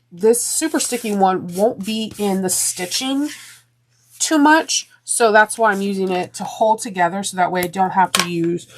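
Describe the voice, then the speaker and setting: A woman walks through a sewing project in a chatty, rambling way, often stopping on ums and restarts. Her voice sounds a little deeper and stuffy from a sinus infection. A faint hiss of noise sits under the recording. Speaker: sewing tutorial host. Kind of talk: step-by-step sewing tutorial.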